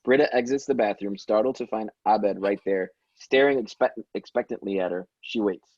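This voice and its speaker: A man narrating stage directions at a table read, in dull low-fidelity sound. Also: moderate pace, a little mellow, dry recording. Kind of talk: reading screenplay directions aloud.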